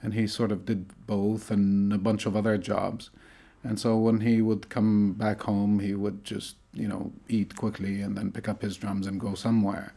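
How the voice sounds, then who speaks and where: A middle-aged man from Tunisia, a lecturer, talking thoughtfully in an interview, with many pauses and fillers. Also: fairly low pitch, flat delivery, gravelly, mellow. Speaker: lecturer in Arabic studies. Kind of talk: conversational interview.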